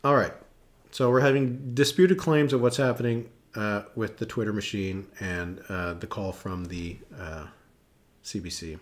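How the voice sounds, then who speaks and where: A man's voice: lowish voice, measured pace. A man hosting a live election analysis stream, chatting casually with many fillers as he thinks aloud and reacts to viewers. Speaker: political analyst hosting a livestream.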